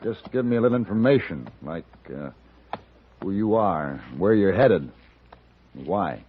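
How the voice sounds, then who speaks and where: Low-voiced, cool Old West gunfighter. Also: male voice, animated, measured pace, husky, heavily acted. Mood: wary, commanding. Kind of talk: 1950s radio western acting and narration. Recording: dull vintage radio broadcast with music.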